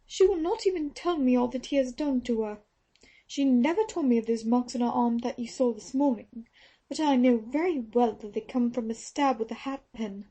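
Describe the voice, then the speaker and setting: A woman's voice: a little high, moderate pace, warm tone, pitched above the performer's own voice, close to an everyday voice. A woman reading an audiobook, voicing a stern, taciturn Australian nurse who is fiercely protective of her mistress. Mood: bitter, protective. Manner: indignant, protective. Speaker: audiobook reader.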